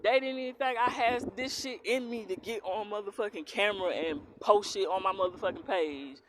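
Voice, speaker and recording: A woman's voice: mid-range voice, brisk. A young woman from Philadelphia, raised in the US South, with a strong Southern accent. She reads tarot and rants bluntly to camera with plenty of swearing. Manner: blunt, heavy cursing, defiant. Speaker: tarot reader. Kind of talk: casual to-camera rant. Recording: clear background noise.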